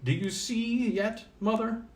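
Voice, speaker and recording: A man's voice: sits high, measured pace, far from the mic, pitched above the performer's own voice. A humble, anxious father from a fairy tale, voiced by a man reading aloud. He pleads and protests earnestly, hesitating and stammering over his words. The voice rings in a roomy echo. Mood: polite, earnest. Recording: roomy, echoing sound.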